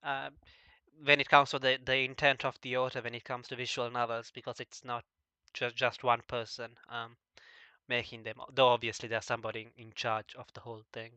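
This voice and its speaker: A man talking casually as an interviewer, halting and stammering over the starts of his words. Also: medium pitch, level tone, pushed and bright, clear, resonant tone, no room sound. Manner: halting, stammering, frequently repeating word starts. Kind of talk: casual discussion of visual novels.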